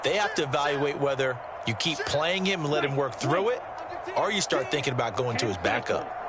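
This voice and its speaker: A man's voice: medium pitch, lively intonation, a little fast, heavy background noise, lightly acted. A man playing an opinionated football analyst in a video game, explaining things in a conversational broadcast style. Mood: analytical. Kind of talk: sports analysis commentary. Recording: music behind the voice.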